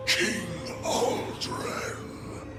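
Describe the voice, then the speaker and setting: A man playing a fanatical, menacing undead alien warlord in a video game, grandiose and fervent, in halting phrases. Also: whispered, loud music behind the voice. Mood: fervent triumph.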